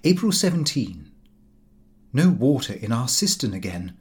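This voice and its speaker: A man's voice: medium pitch, sing-song, a little slow, a little mellow. A man reading a comic diary novel as an audiobook, with fussy, indignant storytelling. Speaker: audiobook reader.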